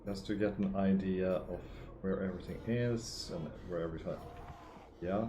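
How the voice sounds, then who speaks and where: A man streaming a video game, talking casually and excitably, with a gravelly, hoarse voice from shouting. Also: sits low, moderate pace. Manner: chatty, excitable, reading lore aloud. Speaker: gaming streamer. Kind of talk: casual gameplay commentary. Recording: echoey room with faint noise.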